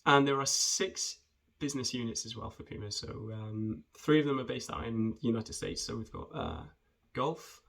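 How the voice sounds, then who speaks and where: A man, talking in a friendly, conversational way with hesitant pauses as he introduces himself and his work for students. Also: mid-range voice, gravelly, a bit bright. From rural southwest Wales. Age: 20s. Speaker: junior footwear developer. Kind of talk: informal self-introduction video for students.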